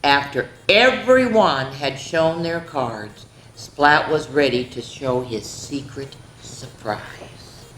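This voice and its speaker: A woman with a low voice reads to children in an echoey room with a little background noise. She tells stories dramatically and breaks off for exclamatory, chatty asides to her young listeners. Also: animated, slow pace. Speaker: children's story time host. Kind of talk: animated read-aloud storytelling with chatty asides to children. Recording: roomy echo, faint background noise.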